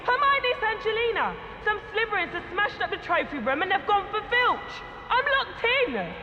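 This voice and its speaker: An actress playing a feisty, urgent teenage schoolgirl in a video game, speaking forcefully. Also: extremely high pitch, big pitch swings, moderate pace, dull audio, a little hiss or hum, full character voice. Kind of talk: video game cutscene acting. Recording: slight hall reverb, soft game music.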